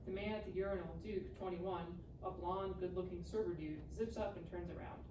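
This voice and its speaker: A woman with a slightly low voice narrates a screenplay at a live table read. She sounds set back from the mic in an echoey room, with clear background noise. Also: fairly even intonation, fairly quick, warm tone, distant mic. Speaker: stage-directions reader.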